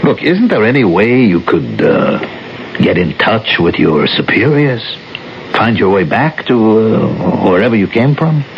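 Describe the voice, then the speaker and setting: A man's voice: on the low side, big pitch swings, a little slow, vocal fry, heavily acted. An actor playing an argumentative, stubborn married man in a radio drama, emphatic and wry. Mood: pensive, wry. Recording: muffled, noisy 1970s radio recording.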